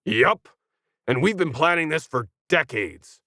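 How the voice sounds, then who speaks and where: A man performs a brash alien for a sci-fi storybook, snarky and slangy. The voice has some gravelly creak and sits close and dry, full of condescension. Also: on the high side, lively intonation, measured pace, sharp tone, no room sound, exaggerated voice. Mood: smug, pushy. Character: brash sarcastic tentacled alien, condescending and fast-talking. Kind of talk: acted sci-fi storybook dialogue.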